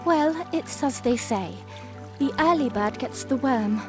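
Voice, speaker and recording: A woman playing a poised, gently teasing young princess in an audio drama. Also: sits high, expressive, unhurried, over music.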